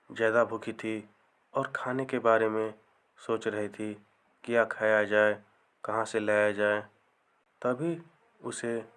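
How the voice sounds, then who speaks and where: Male narrator for spooky-funny folktales: smooth, low voice, very slow measured phrasing. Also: a bit bright. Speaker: storyteller, narrator. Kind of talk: scripted narration of a comic horror folktale.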